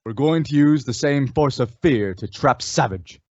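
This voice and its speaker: An actor playing a calm, resolute western hero in an old-time radio style, measured and instructive. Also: male voice, medium pitch, no room sound, lo-fi sound, lightly acted.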